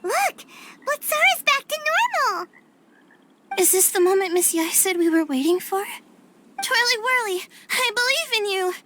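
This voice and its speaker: An actress voicing an earnest, excitable, brave little girl in an anime game, squeaky and eager, full of exclamations. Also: moderate pace, noisy background. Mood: excited, determined.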